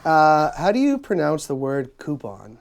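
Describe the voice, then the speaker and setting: A man hosting a casual podcast interview, his pitch rising and falling a lot as he talks. He rambles with many fillers and false starts. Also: very animated, unhurried, vocal fry. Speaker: podcast host.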